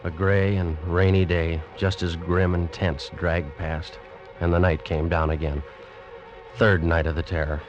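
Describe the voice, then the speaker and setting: Low-voiced man a guilt-ridden sailor narrator, slow and intense, vintage radio thriller with music underneath. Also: level tone, dull audio. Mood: grim. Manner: slow, deliberate, intense dramatic delivery. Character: haunted, guilt-ridden young seaman narrating his terror. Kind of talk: old-time radio suspense narration. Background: dramatic music.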